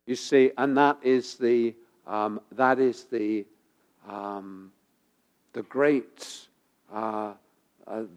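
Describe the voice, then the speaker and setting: A man preaching a sermon while he has a head cold, his voice gravelly, pausing often with ums and uhs and repeating phrases for emphasis. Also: mid-range voice, darkish tone. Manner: halting, many ums and uhs. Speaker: pastor.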